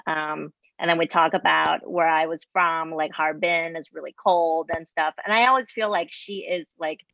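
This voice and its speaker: A woman's voice: low-pitched, fairly even intonation, normal speed, creaky, fairly bright tone, dry recording. Laughing woman, dry muffled sound. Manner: laughs while talking. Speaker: actress on a panel. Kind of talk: casual anecdote. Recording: dull, dry audio.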